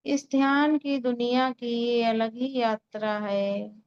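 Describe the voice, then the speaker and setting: A woman leading a guided meditation in a calm, soothing way, giving unhurried instructions with long pauses. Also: fairly high pitch, clear, resonant tone, dull audio. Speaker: meditation guide and Reiki healer.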